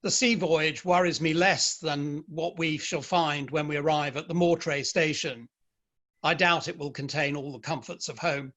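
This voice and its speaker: Male actor as modest Victorian man of letters, middle-aged, wry, slightly high-pitched, in a staged reading. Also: steady tone, moderate pace, a bit bright. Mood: conversational, musing. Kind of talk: dramatic play reading.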